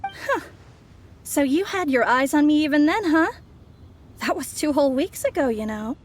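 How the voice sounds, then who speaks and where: A woman's voice: sits high, expressive, normal speed, slight background noise. A woman voicing a sly, poised young outlander schemer in a video game, teasing and theatrically polite. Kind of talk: English video game voice acting.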